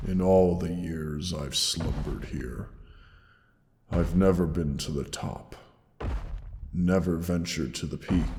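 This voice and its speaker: A man voicing a huge old dying dragon, gentle and weary, speaking slowly and solemnly in a roleplay audio drama. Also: a little hiss or hum, exaggerated voice. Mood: wistful. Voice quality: deep gravelly, breathy sighs. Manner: slow, solemn, halting, tender endearments. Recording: long cavernous reverb.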